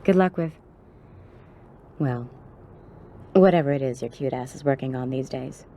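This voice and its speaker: Very low, mellow flirty woman. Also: unhurried, a little hiss or hum. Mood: teasing, grateful. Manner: teasing, familiar. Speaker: video game character. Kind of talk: cinematic game dialogue.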